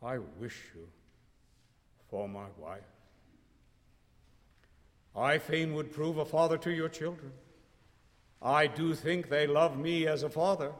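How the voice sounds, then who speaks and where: A man voicing a patient, lovesick man who speaks softly and lets his words fall away. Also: natural voice. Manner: soft, low and trailing off. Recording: long hall reverb.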